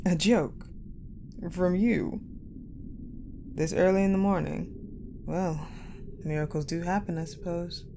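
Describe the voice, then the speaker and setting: Woman playing a slow, low-voiced icy woman general. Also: steady tone. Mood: dry, guarded. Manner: measured, haughty, pensive, with deliberate pauses. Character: cold, guarded, possessive woman war general, the Ice Queen. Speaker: audio roleplay voice actor. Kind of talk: dramatic audio roleplay monologue. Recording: clearly noisy, ambient sound bed.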